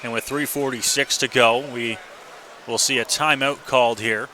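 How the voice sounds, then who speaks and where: A man calls live hockey play-by-play on the radio at a medium pitch. Clear rink noise sits behind his voice throughout. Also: fairly even intonation, normal speed. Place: indoor ice rink. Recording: noisy live arena radio broadcast. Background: loud rink ambience and noise.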